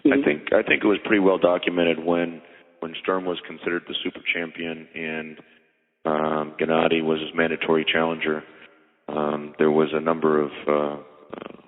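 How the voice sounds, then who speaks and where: A man speaking as a boxing promoter, giving measured, halting explanations on a media conference call. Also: a bass voice, fairly even intonation. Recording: tinny phone-line conference call with reverb.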